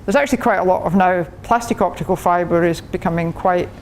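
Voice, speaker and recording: A woman's voice: lowish voice, fast talker, darkish tone, a little hiss or hum. Chatty Scottish woman giving a talk. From Scotland. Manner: chatty, laughs often, many restarts. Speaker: guest speaker, professor. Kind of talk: technical talk to a radio club.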